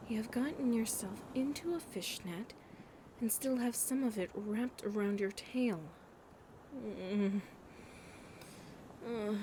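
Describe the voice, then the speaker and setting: A woman acts out a young mermaid in an ASMR roleplay, speaking very slowly in a fairly high voice. She is anxious and hurt yet stubbornly feisty, murmuring to herself in halting bits, with ambient noise clearly behind her. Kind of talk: ASMR audio roleplay, breathy dramatic acting.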